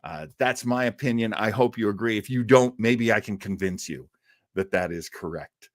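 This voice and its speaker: A man's voice: lowish voice, normal speed, no room sound, studio quality. A man from the United States chatting casually and enthusiastically as host of a live listening show, rambling into asides. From United States, outside Boston. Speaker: host of an old-time radio listening show.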